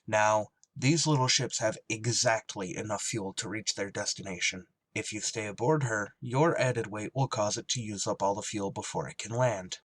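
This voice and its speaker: An actor playing a gruff, tormented emergency ship pilot in a radio drama, terse and weary under strain. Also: male voice, fairly low pitch, moderate pace, hardly any pauses, a bit bright. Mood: grim, reluctant. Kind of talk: radio drama acting with narrated inner monologue.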